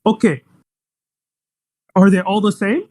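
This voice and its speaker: Insistent high-voiced man debating. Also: steady tone, takes it slowly, mellow. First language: Arabic. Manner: insistent, repeats demands, talks over others. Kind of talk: heated online debate.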